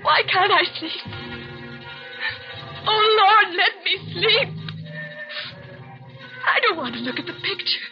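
Haunted young woman, high-pitched, fragile and unravelling, vintage radio melodrama, muffled recording with faint music. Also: animated, exaggerated voice. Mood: panicked, frantic. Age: 20s. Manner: breathless, halting, repetitive, melodramatic. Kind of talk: 1940s radio suspense acting.

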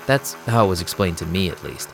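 An actor playing a young vampire DJ who narrates an audio drama in a casual, streetwise and sarcastic way. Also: male voice, deep voice, sing-song, brisk, a bit bright, full character voice. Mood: wry, casual. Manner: casual, wry. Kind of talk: first-person audio drama narration and dialogue. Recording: music behind the voice.

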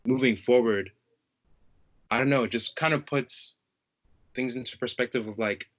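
A man's voice: medium pitch, fairly bright tone, lo-fi sound. Thoughtful young American man. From United States. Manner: thoughtful, halting, frequent likes. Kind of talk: casual conversation.

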